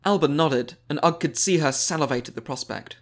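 A man's voice: on the high side, fairly quick. A man reading scripted fiction aloud as an audiobook narrator.

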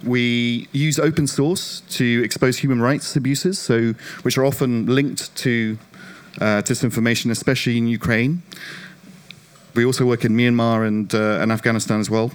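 A man from the UK speaking on a panel as a non-profit director, his voice slightly croaky, telling his story in a self-deprecating, animated way. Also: mid-range voice, normal speed. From United Kingdom. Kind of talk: panel discussion.

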